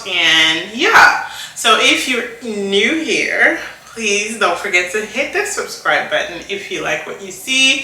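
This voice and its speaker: A woman from Rwanda in her thirties talks slowly and softly through a makeup routine, her bright voice drifting through fillers and restarts. She sits back from the camera mic in an echoey room. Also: medium pitch, recorded from a distance. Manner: soft-spoken, rambling, many fillers and restarts. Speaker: beauty vlogger. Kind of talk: casual chat while doing makeup.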